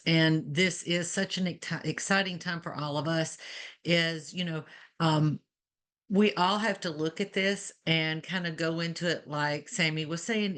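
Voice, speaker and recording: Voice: medium pitch, a bit bright. A nurse educator with a Southern Alabama accent, speaking earnestly and at length on a video-call webinar panel, with frequent "you know" asides.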